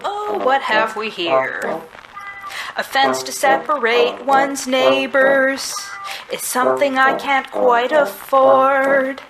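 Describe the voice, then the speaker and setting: Wry private detective for a musical game, played by a woman: androgynous, high-pitched, unhurried, deadpan musing. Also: full character voice, poor audio. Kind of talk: musical detective adventure game acting, part sung. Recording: loud music behind voice. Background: loud music.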